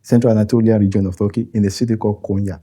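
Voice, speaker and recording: A Nigerian man whose first language is Yoruba, speaking as an interview guest in a thoughtful, halting way with frequent soft laughs. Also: lowish voice, level tone, husky. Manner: thoughtful, halting, chuckles often. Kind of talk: interview conversation.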